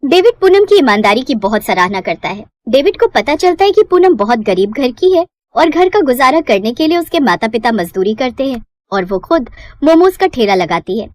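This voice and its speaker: A woman's voice: fairly high pitch, sing-song, normal speed, darkish tone, no echo. A woman reading scripted narration as the storyteller of a moral tale.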